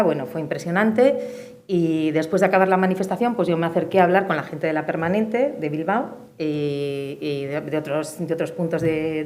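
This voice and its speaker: Middle-aged female panelist, Spain accent, lowish voice, personal testimony, background noise. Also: a little mellow. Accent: Spanish from Spain. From Spain, Madrid and the Basque Country. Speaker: panelist, peace movement activist. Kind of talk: personal spoken testimony at a forum. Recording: clear background noise and room ambience.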